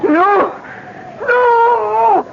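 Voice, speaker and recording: An actor playing a tormented zombie in a 1970s radio drama, moaning and crying out in halting words. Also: male voice, extremely high pitch, gravelly, very noisy, exaggerated voice. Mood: anguished. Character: entranced male zombie struggling back toward death. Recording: dull vintage radio recording, music behind.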